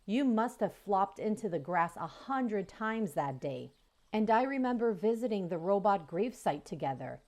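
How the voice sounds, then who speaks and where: A woman voicing a calm, gentle female robot who is a mother. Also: medium pitch, moderate pace, close to an everyday voice. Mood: tender.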